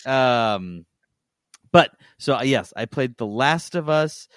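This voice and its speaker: A man's voice: medium pitch, lively intonation, takes it slowly, no room sound, clean studio sound. A man co-hosting a podcast, giving a casual, rambling review and often correcting himself as he goes. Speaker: podcast co-host reviewing games.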